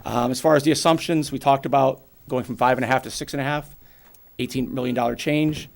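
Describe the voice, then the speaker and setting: Brisk gravelly-voiced man in his forties. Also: mid-range voice, fairly even intonation. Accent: New England. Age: 40s. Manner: brisk and plainspoken, explains with everyday examples. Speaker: actuary presenting to a town board. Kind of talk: financial presentation at a public meeting.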